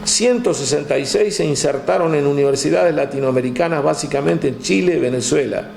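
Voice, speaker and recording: A man with an Argentine accent, telling history in a relaxed chat, with many "este" fillers, reading out figures from a text. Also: fairly high pitch, brisk, vocal fry, reverberant, slight background noise. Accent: Argentine Spanish. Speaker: guest storyteller. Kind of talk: conversational history talk.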